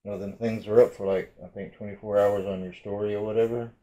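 A man's voice: fairly low pitch, flat delivery, quick pace, warm tone, dull audio. A middle-aged man vlogging casually from his bedroom, rambling and hesitant, full of fillers and restarts. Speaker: urban exploration vlogger. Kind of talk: casual rambling vlog talk. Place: bedroom at home, indoors. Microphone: webcam or phone at arm's length.

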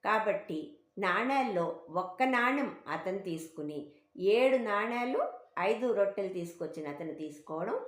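A woman's voice: medium pitch, lively intonation, unhurried, lightly acted. Storybook God, played by a woman, a wise male judge, reasoned and correcting, in an echoing room. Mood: correcting, judicious. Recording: roomy, echoing home recording.

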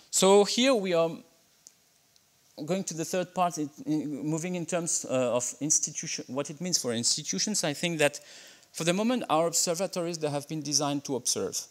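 Middle-aged French man, slightly high voice, halting podium keynote, lots of fillers. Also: a bit bright. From France. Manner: hesitant, many fillers and restarts. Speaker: keynote speaker. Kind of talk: conference talk with slides. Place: dim lecture hall at a podium. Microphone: podium microphone.

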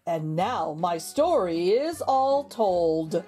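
A woman reading a picture book aloud to young children, with expressive, exaggerated intonation and drawn-out words. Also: on the low side. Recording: background music under the voice. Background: music.

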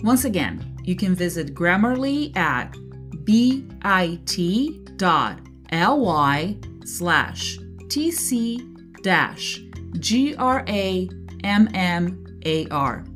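Lively female podcast host, native Portuguese speaker. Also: on the low side, drawn-out words, soft background music. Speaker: translator. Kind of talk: solo podcast monologue.

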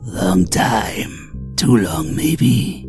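A man playing a cold, weary hired killer who talks in terse deadpan phrases in a comic-book audio drama. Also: low-pitched, very slow, full character voice. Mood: cold, menacing. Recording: music behind the voice.

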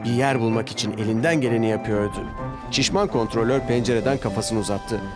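A man's voice: mid-range voice, fairly even intonation, brisk. A man narrating a scripted children's story as the storyteller. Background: background music.

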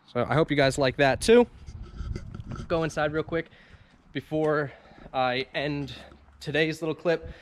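A man in his car shop casually showing off the day's work, with loose, rambling talk full of fillers and restarts. His voice sits at an ordinary pitch, and the camera mic picks up faint noise behind him. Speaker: auto detailing and wrap shop owner. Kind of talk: casual shop vlog walkthrough. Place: car shop and lot, in and out of doors.

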